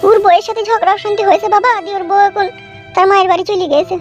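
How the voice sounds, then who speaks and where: A woman voicing a worried, chatty middle-aged village mother in a cartoon, with a pitched-up effect. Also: fairly even intonation, very fast, highs cut off, soft background music. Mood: troubled. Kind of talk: comic cartoon dialogue.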